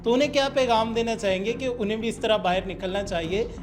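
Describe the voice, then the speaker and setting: A man's voice: high voice, fast. A Pakistani man hosting a podcast interview, speaking politely and respectfully as he presents and asks questions. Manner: polite, addresses guest respectfully.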